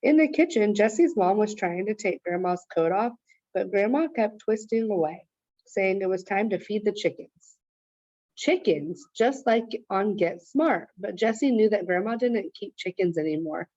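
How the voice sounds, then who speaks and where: A woman's voice: medium pitch, expressive, normal speed, mellow, dry recording, muffled recording. A woman reading a children's story aloud as narrator.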